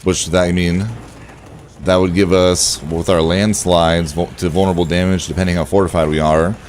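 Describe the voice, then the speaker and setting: Deep-voiced man chatting on a livestream. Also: normal speed, slight background noise. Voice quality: relaxed voice. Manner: chatty, thinking aloud, addressing viewers by name. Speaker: game livestreamer. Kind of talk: casual livestream chat over gameplay.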